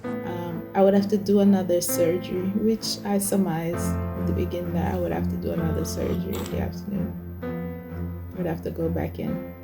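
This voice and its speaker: A woman in her forties speaks in a slightly low voice as she gives her testimony. She talks hesitantly and often starts her sentences over. Music plays clearly behind her. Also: lively intonation, brisk. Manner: hesitant, many fillers and restarts. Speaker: host telling her testimony. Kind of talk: personal testimony.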